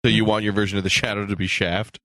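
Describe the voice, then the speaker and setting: A man's voice: on the low side, level tone, fast, sharp tone, no room sound. A man co-hosting a podcast with casual, sceptical, teasing banter. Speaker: podcast co-host. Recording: clearly noisy background with clicks.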